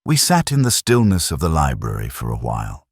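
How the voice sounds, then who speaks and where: A man narrating a first-person detective story in a measured, solemn, literary manner, with a gravelly, husky voice. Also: very deep voice, wildly swooping pitch, no echo, clean studio sound.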